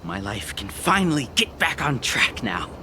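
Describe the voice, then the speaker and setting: A man's voice: medium pitch, sing-song, moderate pace, noisy background, lightly acted. A man playing a grateful, emotional townsperson in a video game, with an effusive, earnest delivery. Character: grateful, emotional robot owner. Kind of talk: animated video game voice acting.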